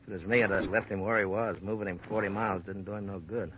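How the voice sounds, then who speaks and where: An actor playing a plain-spoken rural American deputy sheriff in an old radio drama, folksy and laconic. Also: male voice, deep voice, fairly even intonation, quick pace, close to an everyday voice. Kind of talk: 1940s-50s radio drama acting. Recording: dull, noisy old radio recording.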